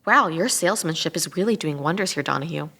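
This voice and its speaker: A woman's voice: deep voice, animated, fast, vocal fry, pushed and bright, full character voice. A woman playing a hot-headed, sarcastic cop, incredulous and argumentative, in a dramatic read. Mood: doubtful, exasperated. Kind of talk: dramatic table read acting.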